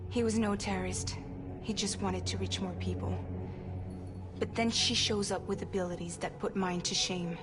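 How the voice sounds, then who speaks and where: A woman's voice: mid-range voice, fairly even intonation, moderate pace, over music, close to an everyday voice. A woman playing an earnest, determined courier leader. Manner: earnest, urgent explaining. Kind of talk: cinematic video game cutscene acting. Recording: game score loud behind.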